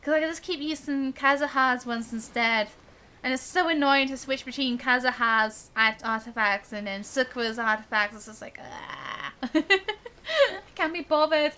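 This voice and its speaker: A woman's voice: sits high, normal speed, bright, forceful tone. A woman game streamer giving casual live-stream commentary in a chatty, giggly way. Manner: chatty, giggly, mimics the characters.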